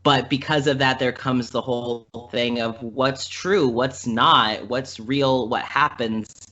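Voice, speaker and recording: A man's voice: mid-range voice, steady tone, takes it slowly, bright, forceful tone. A man speaking as a podcast guest in casual conversation, earnest and rambling, repeating phrases for emphasis. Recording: dull lo-fi podcast audio.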